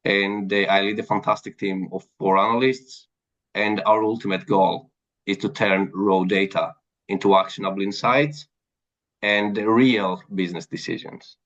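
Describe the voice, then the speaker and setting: A man's voice: lowish voice, steady tone, moderate pace, a bit bright. A man from Greece with a Greek accent, speaking earnestly as an interview guest about his career. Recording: dull lo-fi sound.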